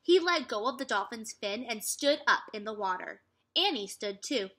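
Bright, high-voiced young woman reading a storybook. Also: lively intonation, normal speed. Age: young adult. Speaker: teacher reading aloud to second graders. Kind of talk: children's storybook read-aloud. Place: furnished room at home, indoors.

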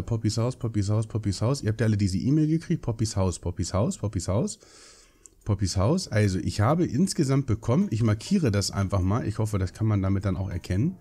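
Young gaming host, sick with a cold; low mellow voice, rambling chatty delivery with lots of fillers. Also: male voice, husky. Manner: chatty, rambling, swears casually, many fillers. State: hoarse from a cold. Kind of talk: casual Minecraft let's play commentary.

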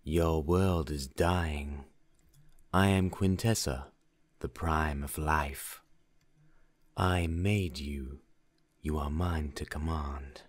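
A man voicing a commanding, menacing female alien robot from a film, his voice processed with pitch-shifting effects. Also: steady tone, exaggerated voice. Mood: solemn, commanding. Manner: slow, imperious, declamatory. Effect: layered pitch-shifted, garbled metallic robot modulation.

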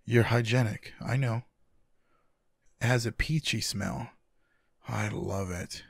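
A man playing a tender, teasing, sleepy lover in an intimate boyfriend ASMR roleplay, speaking softly and affectionately in a husky, gravelly voice. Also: medium pitch, fairly even intonation, dry recording, clean studio sound, heavily acted. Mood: affectionate, playful. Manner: soft, affectionate, unhurried. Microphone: close microphone, dry.

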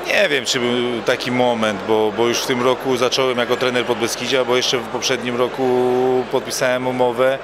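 A man's voice: medium pitch, little pitch movement, moderate pace, hardly any pauses, background noise. A man in his forties, a football coach, answering interview questions at an evening gala into a handheld mic, often repeating words as he starts a phrase. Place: hotel bar at an evening gala, indoors. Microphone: handheld mic held by interviewer.

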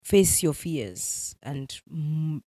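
A woman's voice: low-pitched, drawn-out words, husky, no echo. A young Kenyan woman with a Kenyan accent, a gospel musician and native Swahili speaker, talking animatedly as an interview guest. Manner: animated, mixing in Swahili phrases. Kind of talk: casual interview.

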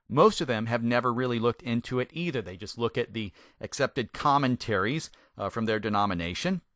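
A man with a mid-pitched, bright voice teaches scripture in a conversational sermon style. He is a native English speaker who stresses key words deliberately and puts questions to his listener. The sound is dry, with no room on it. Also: no echo. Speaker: Bible teacher, former pastor.

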